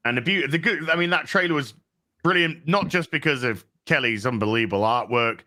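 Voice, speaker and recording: Bright, easygoing male host, wandering casual delivery. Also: medium pitch, fairly quick. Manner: rambling, frequent restarts. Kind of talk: casual livestream chat, reading donations.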